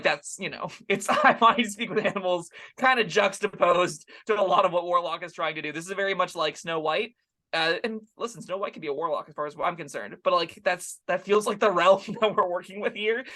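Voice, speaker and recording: A man co-hosting a casual tabletop game discussion, rambling in an animated way and slipping into acted-out asides. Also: high-pitched. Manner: animated, rambling, acts out short quips in asides. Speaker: co-host and tabletop game reviewer. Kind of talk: casual two-person discussion.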